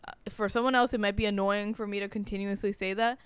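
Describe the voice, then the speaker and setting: A young woman speaks as a podcast co-host in a casual, earnest way. Her mid-pitched voice is very smooth and clear. She keeps it conversational throughout. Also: fairly even intonation, fast talker, dry recording.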